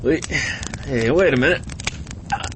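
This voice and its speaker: A man's voice: mid-range voice, unhurried, background noise, natural voice. A man playing a foul-mouthed, sarcastic guy who is hurt and complaining, in an audio comedy drama. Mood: pained, flustered. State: in pain.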